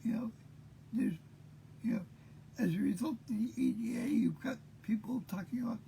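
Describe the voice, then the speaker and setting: A man in his fifties speaks in a gravelly, mellow voice, tired and short of breath. He talks haltingly with many pauses and fillers. A faint hiss sits behind him. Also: sits high. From Maine. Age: 50s. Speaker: host. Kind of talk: unscripted monologue.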